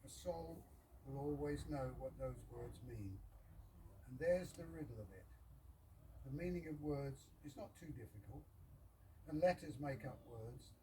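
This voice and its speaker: A man reads a poem aloud to a gathering of friends in measured, halting short phrases, with informal asides. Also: mid-range voice, mellow. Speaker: reader of a poem at a birthday gathering. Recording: distant mic with clear background noise.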